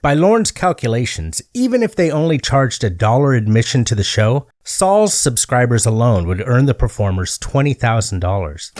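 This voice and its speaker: A man delivering scripted comedic storytelling as narrator, in a deliberate, mock-earnest way with emphatic phrasing. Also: medium pitch, a little hiss or hum.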